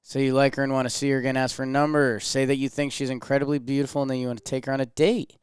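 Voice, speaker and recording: A wry co-host with a smooth, resonant voice who reads the game choices aloud with a dry edge. The sound is close and free of room echo. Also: male voice, mid-range voice, little pitch movement, rapid-fire. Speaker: co-host reading options. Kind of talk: comedic reaction chat.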